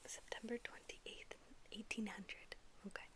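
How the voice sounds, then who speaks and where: A woman whispering softly in an ASMR roleplay as a dentist, gently and politely asking questions. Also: a little slow. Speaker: ASMR performer playing a dentist.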